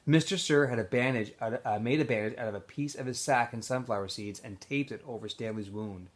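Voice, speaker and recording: A man's voice: medium pitch, fairly quick. A man reading a children's novel aloud as a teacher would, in an informal way with small stumbles and self-corrections.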